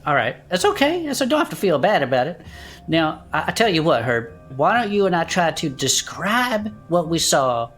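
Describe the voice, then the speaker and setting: A folksy, rough-mannered adventurer in an audio drama. The voice is low-pitched, colloquial and excitable, chatting as a monster-show co-host. Soft music sits behind it. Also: female voice, moderate pace, heavily acted. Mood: folksy, probing.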